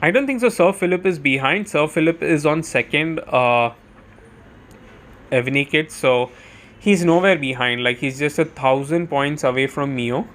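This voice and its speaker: A man's voice: a little high, a little slow, a bit bright. A man giving casual, chatty live commentary on a game as a streamer, thinking aloud as he goes.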